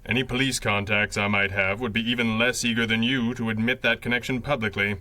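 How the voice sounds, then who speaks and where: An actor playing a stern, secretive, commanding masked crime-fighter in a 1930s pulp radio drama, with clipped, formal, authoritative speech. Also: male voice, medium pitch, steady tone, fairly quick, sharp tone, lightly acted. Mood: guarded.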